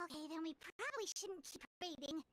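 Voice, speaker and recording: A woman voicing a tiny, childlike fairy companion in a video game, excitable and nervous, with a squeaky, chirpy delivery. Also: fairly quick. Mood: worried. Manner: chirpy, flustered, speaks of self in third person.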